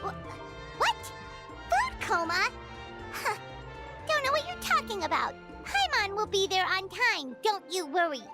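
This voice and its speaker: A woman voicing a small, childlike, excitable companion creature in a video game, squeaky and easily indignant. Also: a little slow, exaggerated voice. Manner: refers to self in third person. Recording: game music behind the voice.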